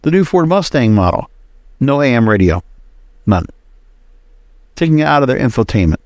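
A man's voice: medium pitch, big pitch swings, normal speed, a little mellow, dry recording, studio quality. A man hosting a solo podcast, giving casual, rambling and opinionated commentary. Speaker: podcast host.

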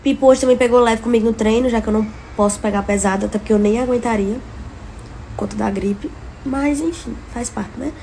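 A woman's voice: a little high, brisk, vocal fry, slight background noise. A young Brazilian woman in her twenties chatting casually to her followers through a phone at arm's length, sounding sick with a cold. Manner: chatty, breezy, animated when venting. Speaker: influencer. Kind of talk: casual vlog stories to followers. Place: home living room and kitchen. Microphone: phone held at arm's length.

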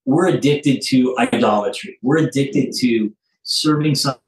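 A middle-aged man speaking earnestly and haltingly in an interview, reflecting as he goes with deliberate pauses. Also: mid-range voice. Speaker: studio head being interviewed. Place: small office, on a video call. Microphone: phone some way off. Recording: roomy, distant phone microphone.

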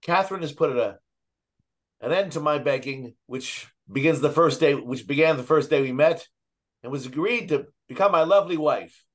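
A man's voice: on the high side, expressive, normal speed. A middle-aged man playing a joyful, oblivious, newly engaged uncle making toasts in a screenplay table read. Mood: joyful, proud. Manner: grandly toasting. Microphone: video call microphone.

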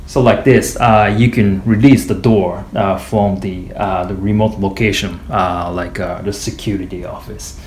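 A man giving an informal product demonstration in a hesitant, halting way with frequent pauses. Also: low voice, fairly even intonation, a little mellow. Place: small indoor office or meeting room. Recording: echoey room, faint background noise.

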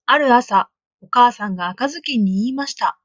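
A woman narrating a children's storybook aloud, clear, gentle and expressive. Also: a little high, moderate pace, dry recording, muffled recording.

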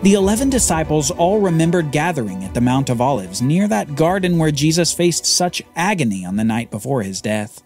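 A man hosting a podcast, telling a Bible story as scripted narration with dramatic pauses. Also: on the high side, animated, darkish tone, soft background music.

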